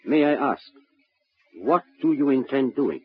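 An actor playing a polite, anxious client with careful, formal speech in a 1950s radio drama. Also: male voice, medium pitch, lively intonation, measured pace, a little hiss or hum, natural voice. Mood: uneasy, polite. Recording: muffled old radio broadcast recording.

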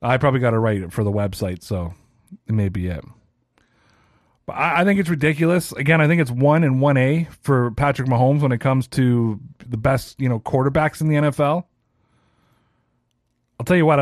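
A man's voice: mid-range voice, a little mellow, dry recording, studio quality. Chatty man in his thirties ranting. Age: 30s. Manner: casual, chatty, self-deprecating. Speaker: podcast host. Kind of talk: solo sports rant podcast.

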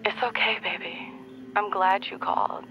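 Pregnant wife, wry, affectionate, vocal fry, mid pitch, intimate audio drama, lo-fi phone filter. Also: female voice, steady tone, moderate pace, whispered. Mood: tender. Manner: wry, dry teasing. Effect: phone call filter. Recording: dull, lo-fi phone call.

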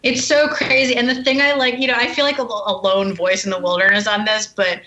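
A woman's voice: mid-range voice, little pitch movement, a little fast, bright, forceful tone, reverberant, dull audio. A woman guest who is an author, speaking in a forthright, indignant way and reading from her memoir. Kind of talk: reading aloud from her memoir, then conversation.

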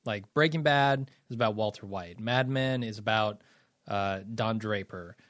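A man's voice: lowish voice, unhurried, fairly bright tone, no room sound, studio quality. A man co-hosting a casual podcast, easygoing and thinking aloud. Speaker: podcast co-host.

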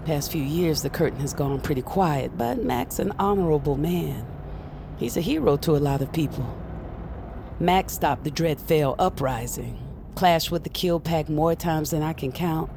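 An actress playing a brisk, wry woman who guides a magical novice, speaking casually in clipped phrases in an audio drama. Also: low-pitched, lively intonation, vocal fry, noisy background. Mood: matter-of-fact. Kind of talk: naturalistic audio fiction acting.

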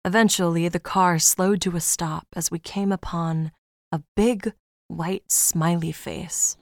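An actress playing a weary, uneasy traveler, narrating a horror drama in a measured, brooding, subdued way. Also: female voice, lowish voice, steady tone, no echo, clean studio sound. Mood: brooding narration. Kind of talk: first-person horror audio drama narration.

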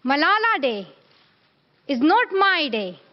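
Woman as a high-voiced, earnest teenage girl activist. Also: big pitch swings, muffled recording, full character voice. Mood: earnest, proud. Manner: deliberate, declamatory, phrase by phrase. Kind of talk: rousing public speech inside a narrated story.

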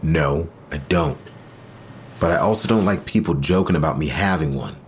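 Deep-voiced, touchy, probing boyfriend. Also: male voice, normal speed, a little hiss or hum. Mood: defensive, probing. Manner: intimate, pointed, questioning. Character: touchy, probing male lover, quietly suspicious. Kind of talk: naturalistic audio drama dialogue.

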